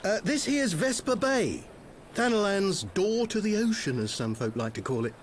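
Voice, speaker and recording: A folksy townsman, very animated pitch. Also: normal speed, a little hiss or hum. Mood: bemused. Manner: colloquial, hesitant, bemused. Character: plain-spoken, folksy, puzzled townsman. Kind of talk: fantasy game voice acting.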